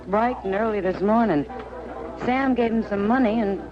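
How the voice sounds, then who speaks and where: An actress playing a warm, teasing, confiding frontier saloon woman in a radio western, with an American accent. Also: mid-range voice, normal speed, lightly acted. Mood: confiding, amused. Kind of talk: 1950s radio western acting. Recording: muffled old radio recording, music.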